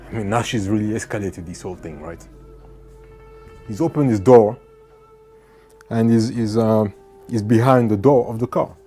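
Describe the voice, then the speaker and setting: A man born in France recounting a run-in with police in a conversational, animated way, full of asides to the listener. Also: on the low side, moderate pace, long pauses, faint music behind. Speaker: vlogger. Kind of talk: storytelling to camera.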